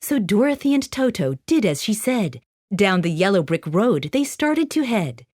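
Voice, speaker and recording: A woman narrating a scripted rhyming children's story in an expressive, sing-song manner. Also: medium pitch, normal speed, dry recording, clean studio sound.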